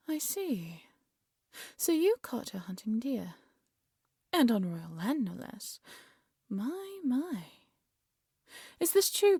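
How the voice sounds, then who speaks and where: Cruel fairy-tale queen, dramatic swooping pitch, breathy tone, close dry sound, imperious, manipulative, theatrical audio roleplay. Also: darkish tone, no echo, heavily acted. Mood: commanding, haughty. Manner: imperious, scolding, condescending, theatrical. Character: haughty, cruel, manipulative evil queen stepmother.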